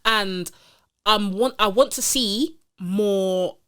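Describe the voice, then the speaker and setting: A woman from co-hosting a casual podcast chat, animated and enthusiastic, laughing easily. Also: medium pitch, takes it slowly, smooth. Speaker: podcast co-host.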